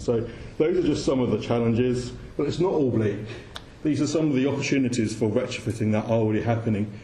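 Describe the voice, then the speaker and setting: A man's voice: mid-range voice, mellow. A man giving an informal presentation to an audience, speaking somewhat hesitantly and repeating words. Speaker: conference speaker. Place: indoor room with audience. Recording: echoey room with faint background noise.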